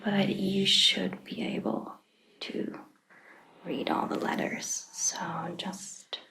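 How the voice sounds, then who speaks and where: A woman whispering gently in an ASMR roleplay as a caring vampire doctor, reassuring and a little hesitant. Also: mid-range voice, fairly even intonation, takes it slowly, gravelly, poor audio. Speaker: ASMR performer.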